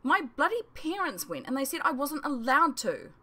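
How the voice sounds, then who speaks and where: A woman's voice: sits high, very animated, normal speed, pushed and bright, voice pushed up, heavily acted. Petulant teenage girl acted by a woman. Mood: furious, sulky. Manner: petulant, emphatic. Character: indignant, demanding teenage girl at an academy.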